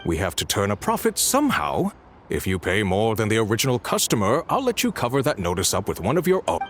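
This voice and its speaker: Wildly animated, fawning market clerk. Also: male voice, lowish voice, fast talker, soft background music. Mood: flustered, pleading. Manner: fawning, smooth-talking, evasive. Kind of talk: video game voice acting.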